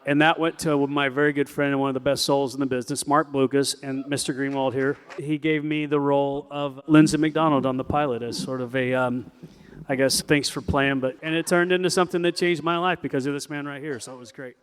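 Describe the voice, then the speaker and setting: A man on a fan panel speaking casually in a halting way, correcting himself as he goes. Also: medium pitch, steady tone, smooth. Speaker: actor panelist.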